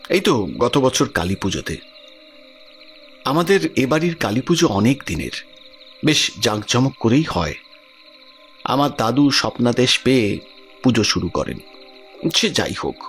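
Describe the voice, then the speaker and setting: An actor playing a worried village man in an audio drama, earnest and expressive as he talks. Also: mid-range voice. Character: worried village man recounting his cousin's frightening affliction.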